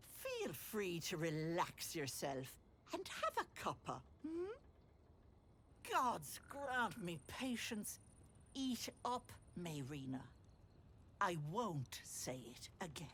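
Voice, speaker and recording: A woman's voice: a little high, fairly bright tone, slight background noise, heavily acted. A woman voicing a sly old hag who poses as a doting auntie in a video game, with an Irish accent and sugary endearments edged with menace. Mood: gushing, then scolding. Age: older. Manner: cloying endearments turning to menace. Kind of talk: theatrical video game acting.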